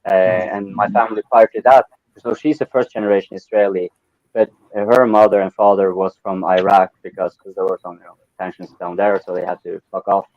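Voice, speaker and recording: A man's voice: sits low, level tone, a little fast, no room sound. Norwegian man rambling on a muffled call. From Norway. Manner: rambling, many restarts and fillers. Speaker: participant in an online open mic. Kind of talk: casual political discussion.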